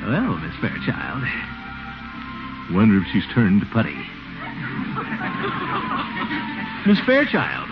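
A swooping, pompous, flustered radio bachelor. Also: male voice, fairly low pitch, wildly swooping pitch, vocal fry, full character voice. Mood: smitten. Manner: theatrical, swooping, drawn-out exclamations. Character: pompous, flirtatious middle-aged bachelor, easily flustered, blustery. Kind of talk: 1940s-50s radio sitcom acting. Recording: muffled old radio recording with music.

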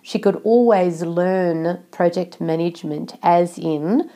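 A woman host sounding nasal from a cold, with a low, mellow, gravelly voice. She explains things slowly and steadily in a measured way. Her delivery is unhurried throughout. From Australia. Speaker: podcast host. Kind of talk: solo podcast talk.

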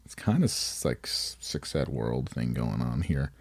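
Man, deep voice, casual podcast banter, jokey, laughs a lot, swears, dry recording. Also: animated, unhurried, darkish tone, slightly rough, dry recording. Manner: laughing often, joking, swearing. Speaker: podcast co-host. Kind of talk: casual chat.

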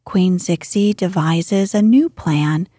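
A woman hosting a sleep podcast, reading scripted narration in a hushed, soft, unhurried manner, close to the microphone. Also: fairly low pitch, slightly rough, dry recording. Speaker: podcast host and bedtime story reader.

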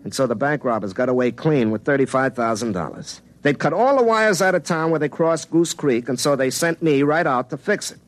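A man's voice: mid-range voice, expressive, fairly quick, few pauses, slight background noise, lightly acted. An actor playing an earnest, hapless young telephone lineman, telling his own story in a folksy, self-dramatizing way in a comic radio drama. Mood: excited storytelling. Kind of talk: first-person comic radio drama narration and dialogue. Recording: classic radio show with music underneath.

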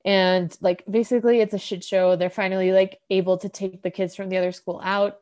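A woman hosting a podcast and chatting through an episode recap. Her voice is warm and mellow, pitched mid-range, and she rambles loosely with constant "like" fillers. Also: flat delivery, fairly quick. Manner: rambling, heavy use of "like", laughs often. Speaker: podcast host.

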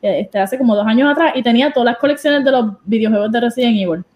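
Puerto Rican woman, chatty, high voice. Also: fast talker, darkish tone. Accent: Puerto Rican Spanish. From Puerto Rico. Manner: chatty, mixes in English words like "so". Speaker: guest streamer. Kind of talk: casual chat on a podcast.

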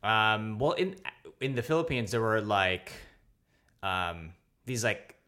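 A man's voice: on the low side, expressive, takes it slowly, bright, forceful tone. A man from New York City, a comedian guest talking in an easygoing, loose way, peppered with "like" and "um". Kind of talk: casual interview chat.

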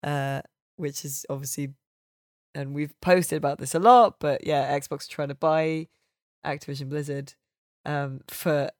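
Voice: mid-range voice, fairly even intonation, unhurried, no echo, clean studio sound. A podcast host, chatting casually and opinionatedly about games in an informal, sweary way.

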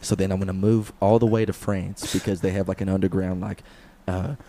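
Low-voiced young man rambling a goofy story. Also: little pitch movement, brisk, mellow. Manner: rambling, laughs a lot, swears. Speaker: podcast co-host. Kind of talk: casual comedic chat.

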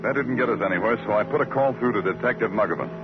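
An American actor playing a wry, world-weary, laconic police detective narrating an old-time radio drama. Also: male voice, fairly low pitch, very fast, close to an everyday voice. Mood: wry, brooding. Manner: laconic, hard-boiled, poetic. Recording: dull old radio recording with music.

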